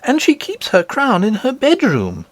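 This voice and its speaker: A man's voice: very high-pitched, wildly swooping pitch, unhurried, dry recording, pitched above the performer's own voice, full character voice. A man voicing an earnest, indignant little princess in a read-aloud storybook, eager and insistent in a childlike way.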